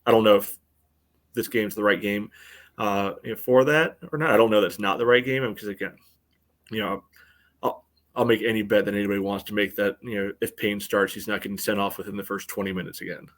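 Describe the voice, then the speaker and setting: A man co-hosting a sports podcast, giving casual analysis in a halting, rambling way padded with filler phrases. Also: fairly low pitch, steady tone. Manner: halting, rambling, very frequent "you know". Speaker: podcast co-host.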